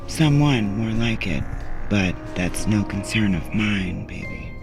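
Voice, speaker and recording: An actor playing a shrewd, brassy flophouse keeper in a sci-fi audio drama, sardonic and streetwise, full of familiar endearments. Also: male voice, fairly low pitch, expressive, slow. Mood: sly, familiar. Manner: sardonic, streetwise, calls people sweetness and baby. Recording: loud music bed under dialogue.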